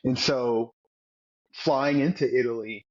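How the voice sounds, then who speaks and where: Husky-voiced man in his forties. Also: medium pitch, slow, muffled recording. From United States. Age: 40s. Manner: earnest, repeats words while telling a story. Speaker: podcast guest, former Navy SEAL. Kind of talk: storytelling interview.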